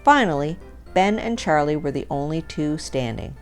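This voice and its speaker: A woman's voice: deep voice, lively intonation, normal speed. A woman reading a humorous story aloud as a storyteller, in scripted narration. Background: music.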